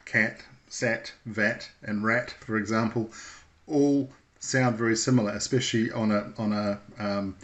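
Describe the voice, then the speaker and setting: A man presenting an informal, unscripted how-to lesson in a measured, explanatory way with thoughtful, hesitant phrasing. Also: lowish voice. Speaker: instructor presenting a radio how-to video.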